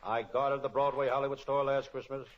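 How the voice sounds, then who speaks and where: A man's voice: medium pitch, little pitch movement, fairly quick, lightly acted. A man playing a wisecracking, kind-hearted private detective in an old-time radio spoof, both narrating and in dialogue. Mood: deadpan, wisecracking. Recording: dull lo-fi old radio recording.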